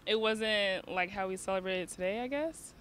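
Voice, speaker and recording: A person answers a street interviewer with uncertainty, trailing off at the ends of sentences. The voice is bright, with faint street ambience and passing vehicles behind. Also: medium pitch, moderate pace, slight background noise. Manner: unsure, trailing off.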